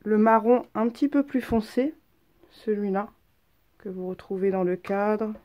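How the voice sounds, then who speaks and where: A woman's voice: mid-range voice, measured pace, warm tone. Stuffy-voiced woman craft vlogger. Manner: chatty, hesitant, many ums. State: has a head cold, stuffy. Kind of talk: casual craft show-and-tell.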